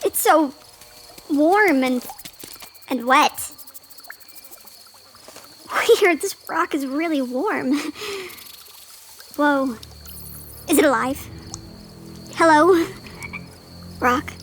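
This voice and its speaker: A woman's voice: high voice, drawn-out words, pauses often, a bit bright, soft background music, exaggerated voice. A woman playing a young female flying squirrel in an audio drama, cautious and anxious, hesitant and plaintive. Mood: bewildered, scared. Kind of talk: audio drama character acting.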